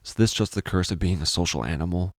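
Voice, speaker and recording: Very deep-voiced man narrating fiction. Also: fast, no room sound, clean studio sound. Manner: brooding, theatrical delivery with rhetorical questions. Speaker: storyteller. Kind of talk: dramatic first-person fiction narration.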